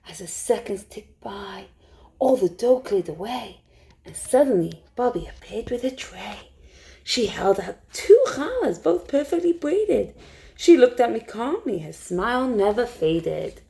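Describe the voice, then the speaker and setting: A woman storytime teacher reading a rhyming picture book aloud to young children in an expressive way. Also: mid-range voice, a little slow, gravelly. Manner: expressive, hushed suspense, asks listeners questions.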